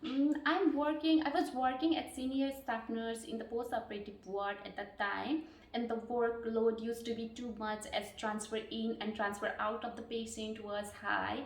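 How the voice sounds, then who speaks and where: A woman in her thirties from Nepal, answering mock job interview questions earnestly, pausing with fillers and restarting phrases. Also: fairly high pitch, steady tone. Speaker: job candidate. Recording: echoey room, distant mic.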